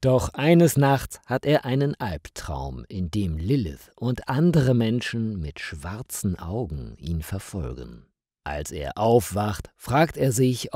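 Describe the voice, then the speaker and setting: Very slow, low-voiced male story narrator. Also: mellow, husky, no echo, studio quality. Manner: deliberate, carefully phrased in short sentences. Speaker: narrator of stories for language learners. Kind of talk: slow, scripted audiobook narration of a mystery story.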